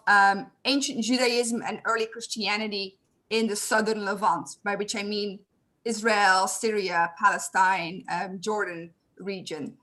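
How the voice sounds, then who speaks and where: A woman's voice: on the high side, sharp tone. A young woman from Belgium with a Belgian accent, introducing herself as host of an online panel, speaking in a friendly, eager way with many "um" fillers over a video call.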